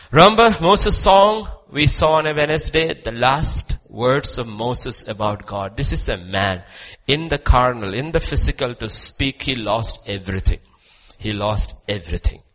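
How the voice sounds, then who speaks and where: A man with an Indian accent preaching a sermon emphatically, leaning on rhetorical questions and repetition and moving between loud declamation and quieter asides. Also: lowish voice, poor audio.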